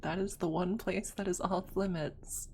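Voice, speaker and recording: A woman chatting casually on an ASMR livestream, soft-spoken and halting, close to a streaming microphone. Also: on the low side, steady tone, a little hiss or hum.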